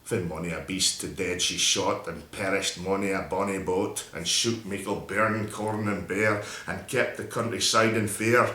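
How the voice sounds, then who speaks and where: Echoey, forceful Scotsman reciting dramatic verse. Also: sits low, steady tone, a little slow, hardly any pauses. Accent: Scottish. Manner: theatrical, emphatic storytelling in broad Scots dialect. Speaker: poetry reader.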